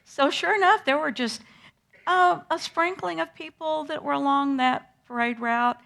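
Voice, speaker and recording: An older woman guest, telling a vivid personal story to schoolchildren in an animated way. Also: high voice, normal speed, vocal fry. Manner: lively, animated storyteller who acts out moments. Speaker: interview guest recalling a historic day.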